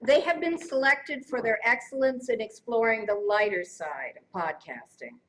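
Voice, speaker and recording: A woman presenting an award live in a playful manner, at an unhurried pace. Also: a little high, lively intonation, background noise.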